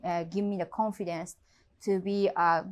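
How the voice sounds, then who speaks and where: A woman in her thirties from Japan, speaking English with a Japanese accent as a real estate agent in an interview, pausing often and filling with "you know" and "uh.". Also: fairly low pitch, flat delivery. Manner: halting non-native English with frequent fillers. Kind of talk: interview conversation.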